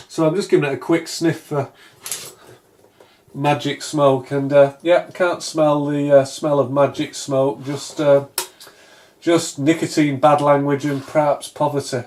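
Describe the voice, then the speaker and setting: A middle-aged man with a British accent talks through a hands-on repair in a casual, unscripted way. His voice is relaxed and dark-toned, sitting at an average male pitch. He muses to himself with dry, self-deprecating humour. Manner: musing aloud, self-deprecating, dryly humorous. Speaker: electronics repair hobbyist, presenter. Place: small indoor home electronics workshop, at a bench.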